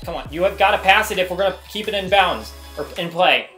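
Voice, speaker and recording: A man's voice: high-pitched, expressive, fast, bright, forceful tone, soft background music. A man giving casual gameplay commentary, talking to himself in exasperation and often repeating phrases. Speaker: gaming video creator.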